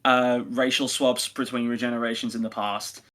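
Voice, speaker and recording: A man with a bright, forward voice at middling pitch. He talks casually as a podcast co-host, pushing his opinions with emphatic phrasing. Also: level tone, moderate pace. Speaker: podcast co-host reviewing audio dramas.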